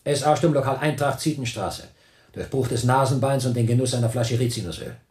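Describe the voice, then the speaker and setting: A man acts a cowed camp prisoner in a radio play, heard in a roomy echo. He answers in clipped, dutiful phrases, deadpan with a wry edge. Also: medium pitch, a little fast, close to an everyday voice. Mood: dutiful, guarded. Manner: clipped military replies, deadpan. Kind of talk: German radio drama acting.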